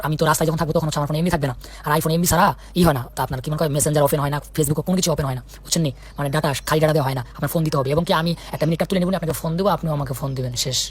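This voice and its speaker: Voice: mid-range voice, slightly rough. A speaker with a Bangladeshi accent in a casual phone conversation, insistently checking again and again that the listener follows.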